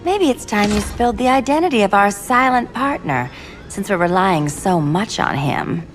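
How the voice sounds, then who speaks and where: A woman's voice: mid-range voice, expressive, normal speed, soft background music, close to an everyday voice. An actress voicing a sly female cat burglar with a sardonic, teasing manner. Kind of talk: game cutscene voice acting.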